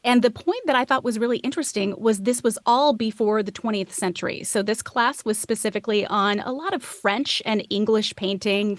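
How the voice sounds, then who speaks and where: A woman in her forties speaks as an expert interview guest with a medium-pitched voice. She explains things conversationally, in an articulate and enthusiastic way. The sound is dry, with very little room echo. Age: 40s. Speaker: art history podcaster and expert guest.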